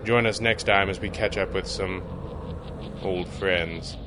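A man's voice: low-pitched, steady tone, unhurried, noisy background. A man announcing credits and sponsor plugs for an audio drama podcast in a scripted read.

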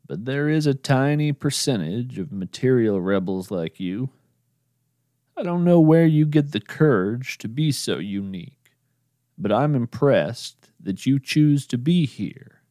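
A man narrating his podcast in a slow, measured, earnest scripted address to the listener. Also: medium pitch, darkish tone, no echo, clean studio sound.

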